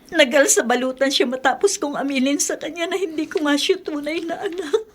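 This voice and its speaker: An actress with a Filipino accent playing an anxious, tearful adoptive mother in a radio drama, flustered and halting. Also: sits high, natural voice. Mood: worried, tearful.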